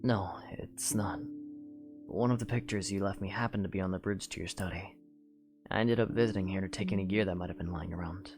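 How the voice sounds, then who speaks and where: A man voicing a gentle young knight, speaking softly and hesitantly in a fan audio drama. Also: deep voice, steady tone, fairly quick, music in the background. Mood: sheepish. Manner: soft, hesitant, apologetic.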